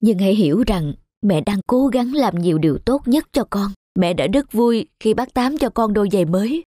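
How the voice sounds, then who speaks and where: A woman voicing a gentle, hard-pressed village mother in a cartoon dub, soft, caring and earnest. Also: medium pitch, animated, normal speed, no room sound, clean studio sound, close to an everyday voice. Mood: sad, earnest. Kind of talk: cartoon dubbing.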